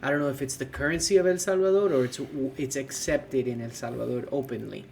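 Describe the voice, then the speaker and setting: A man's voice: medium pitch, quick pace, gravelly, slight background noise. Casual man chatting on a podcast. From California. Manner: relaxed, searching for words, frequent fillers. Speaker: podcast co-host.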